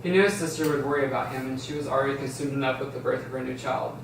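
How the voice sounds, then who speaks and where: A man's voice: mid-range voice, racing. A man reading scripted stage directions aloud at a table read, sometimes stumbling and restarting. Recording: very echoey room, distant mic, background hiss.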